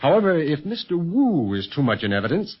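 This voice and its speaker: Animated American secret agent, old radio. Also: male voice, a little high, fairly quick, husky. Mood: purposeful. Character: calm, confident male secret police agent, an uncle. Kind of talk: 1930s radio adventure serial acting. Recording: muffled 1930s radio recording, faint music.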